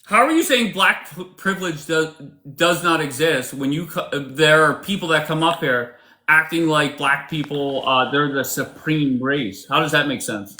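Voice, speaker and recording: Male live-stream host, blunt and profane, very bright tone, slightly high pitch. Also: moderate pace. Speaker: livestream host. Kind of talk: casual live-stream debate chat.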